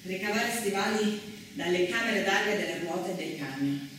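An actress plays an earnest female chronicler in a stage drama. Her medium-pitched voice is emphatic and declamatory. It is heard from afar through strong echo and noise. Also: normal speed, a bit bright, close to an everyday voice. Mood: grave, factual. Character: earnest female chronicler of women's wartime history. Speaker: stage actress in a two-woman theatre play. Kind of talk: theatrical scripted narration. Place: theatre stage, recorded from a distance. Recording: very echoey stage, distant, noisy.

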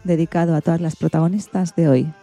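A woman from narrates for children's radio. Her voice is very mellow and slightly low, with music playing behind it. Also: steady tone, quick pace. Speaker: radio presenter and storyteller.

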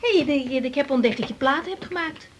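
Hesitant young woman doctor, higher voice. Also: lively intonation, fast, a little hiss or hum. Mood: admiring, shy. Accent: Netherlands Dutch. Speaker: radio drama actor. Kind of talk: naturalistic 1980s Dutch radio drama acting.

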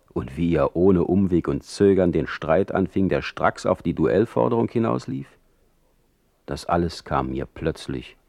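A man's voice: sits low, creaky, darkish tone, no echo, exaggerated voice. An actor playing a proud, ironic, brooding poet in a radio play, a man talking in a rhetorical, theatrical manner. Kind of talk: German radio play acting, letter monologue.

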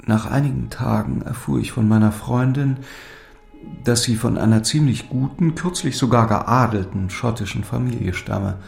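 An actor playing a lovesick, despairing young lord who speaks in earnest, solemn, literary German drama style. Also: male voice, mid-range voice, normal speed, creaky, darkish tone, natural voice. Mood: melancholy confession. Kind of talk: German audio drama acting. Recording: music under the voice.

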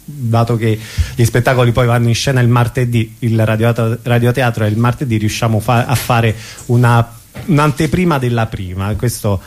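A man's voice: medium pitch, steady tone, moderate pace, a little mellow. A man hosts a radio interview informally, speaking in fragments and repeating words. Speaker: radio theatre show host.

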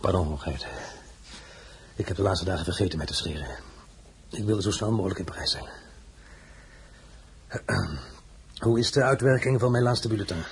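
An actor playing a bitter, war-weary emperor in a radio drama, commanding, sarcastic and formal. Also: male voice, deep voice, normal speed, pauses often, slight background noise. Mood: curt, ironic. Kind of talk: Dutch-language radio drama acting.

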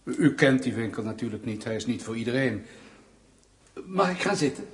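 An actor playing a mock-polite, menacing Soviet interrogator in a radio play, speaking deliberately and insinuatingly with pointed pauses. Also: male voice, medium pitch, expressive, a little hiss or hum, natural voice. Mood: quietly menacing.

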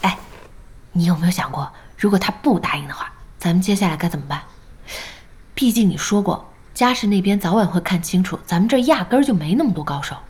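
A woman acting in an audio drama as a blunt, impatient young gamer's ally with an expressive manner. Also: lowish voice, quick pace, close to an everyday voice. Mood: worried, hushed.

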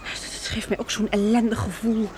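An actress playing an anxious, earnest wife surviving in the countryside in a Dutch radio drama. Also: female voice, fairly high pitch, level tone, normal speed, in a whisper, close to an everyday voice. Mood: dejected.

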